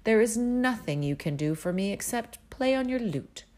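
A woman voicing an impatient, hot-tempered old king in a storybook reading, commanding and lively. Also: a little high, normal speed, voice pushed up, natural voice. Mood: mournful. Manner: impatient and commanding, turning mournful.

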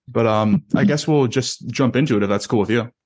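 A man's voice: medium pitch, darkish tone, husky. A man hosting a podcast in a casual, conversational interview style. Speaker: podcast host.

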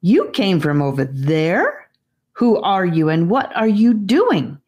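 A woman's voice: lowish voice, sing-song, slow pace, darkish tone, slightly rough, pitched above the performer's own voice, full character voice. A woman voicing an earnest, guarded young girl who keeps watch, in a storybook reading. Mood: suspicious, questioning.

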